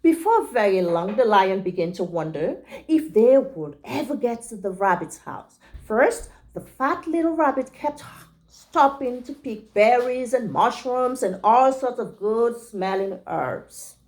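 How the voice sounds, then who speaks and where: A woman's voice: a little high, lively intonation, unhurried, a little mellow. A woman reading a storybook aloud to young children like a teacher, with simple asides to them.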